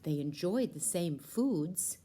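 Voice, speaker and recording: A woman's voice: on the low side, lively intonation, slow pace, dark tone. A woman storyteller narrating a children's puppet show.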